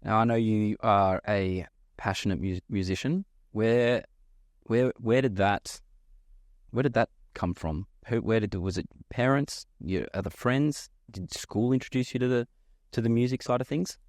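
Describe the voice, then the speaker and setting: A man's voice: on the low side, no room sound, clean studio sound. A man hosting a podcast interview, asking friendly questions that he often restarts partway through. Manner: friendly, halting, repeats words while asking questions. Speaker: podcast host.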